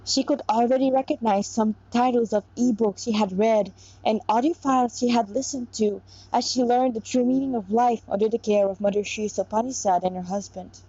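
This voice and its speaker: A woman with a fairly high voice reads a story aloud in an informal, expressive way, dropping in casual asides. She stumbles over the text at times. The lapel mic recording sounds slightly dull and lo-fi. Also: a little fast, slightly rough, no room sound. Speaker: narrator reading own story. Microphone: clip-on lapel mic.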